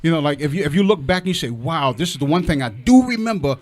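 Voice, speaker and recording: A man's voice: a little high, lively intonation, quick pace, darkish tone. An American man in his sixties hosting a casual interview, joking and often repeating his words. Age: 60s. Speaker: interview host. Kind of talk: casual chat. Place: radio studio.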